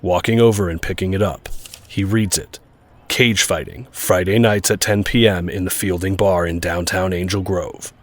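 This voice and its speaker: A man's voice: low-pitched, steady tone, moderate pace. A man narrating a scripted audio drama, describing the action. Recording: clear urban background noise.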